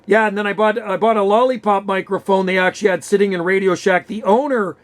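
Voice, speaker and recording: A man's voice: high voice, fairly even intonation, fast. A Canadian man of about sixty, chatty and enthusiastic, telling stories in casual radio conversation. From western Canada. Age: around 60. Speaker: CB radio hobbyist. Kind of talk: casual radio chat, reminiscing. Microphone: vintage desk radio microphone.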